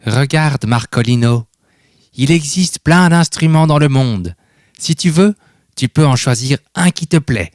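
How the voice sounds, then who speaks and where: A man's voice: on the high side, animated, a little slow, dry recording, studio quality, voice pushed up. A man voicing a cheerful, kindly old grandfather in a children's storybook, teasing and affectionate, asking questions and reassuring. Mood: encouraging.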